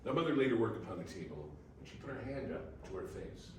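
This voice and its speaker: A man's voice: lowish voice, sing-song, fast talker, a little mellow. Male narrator in a very echoey room. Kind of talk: scripted storytelling narration. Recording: very echoey room, distant mic, faint noise.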